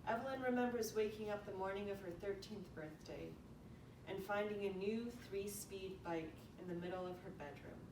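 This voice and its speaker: A woman reading a prepared eulogy aloud in a storytelling way. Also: moderate pace. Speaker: eulogy reader. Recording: echoey room, distant mic, noisy rumble.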